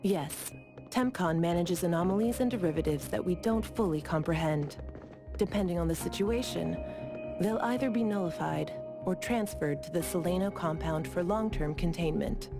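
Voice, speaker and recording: A woman playing a composed bureau officer in a video game, speaking in a formal, measured, explanatory way. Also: on the low side, natural voice. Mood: businesslike. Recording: clear music behind the voice.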